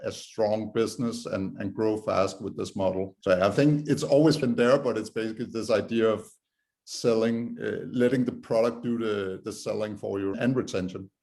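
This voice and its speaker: A man's voice: on the low side, darkish tone. A man with a Danish accent giving informal, explanatory answers as a guest in a conversational interview. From Denmark. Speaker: podcast guest, startup co-founder.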